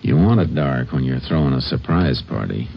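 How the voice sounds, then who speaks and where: A low-pitched, tough lawman in an old-time radio western. He speaks in clipped, commanding lines with a dry edge. The broadcast recording is muffled and aged. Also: expressive, normal speed, creaky, heavy background noise, heavily acted. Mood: matter-of-fact. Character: tough, wry Texas Ranger leading a manhunt. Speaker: radio drama actor.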